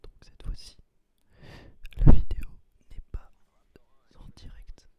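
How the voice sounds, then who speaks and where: A man whispering ASMR gameplay commentary in a breathy voice, explaining things casually with slang. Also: takes it slowly, dark tone, no room sound. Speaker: gaming video creator.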